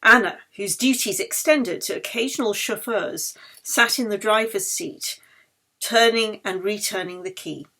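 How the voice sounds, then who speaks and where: A woman narrates literary fiction as its author. Her delivery is scripted and expressive, and her voice sits in a middle register. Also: medium pitch, a little slow. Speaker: author reading own novel.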